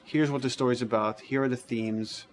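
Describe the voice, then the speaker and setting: A man's voice: medium pitch, fairly even intonation, moderate pace, noisy background. A man, a game developer, explaining things matter-of-factly in a documentary interview.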